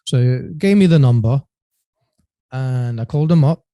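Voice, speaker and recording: Warm-voiced man telling his story. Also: medium pitch, expressive, normal speed, no echo, studio quality. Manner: earnest, rambling, lots of restarts and "right?". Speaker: podcast guest. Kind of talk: storytelling in an interview.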